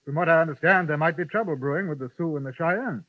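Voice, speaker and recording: An actor with an English accent playing a courteous, dry-witted journalist and narrator in a 1950s radio western. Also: male voice, fairly high pitch, fast, dry recording, close to an everyday voice. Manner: courteous, formal and lightly ironic. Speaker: newspaper correspondent and narrator. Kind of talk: 1950s radio drama acting. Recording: dull old radio broadcast with faint music.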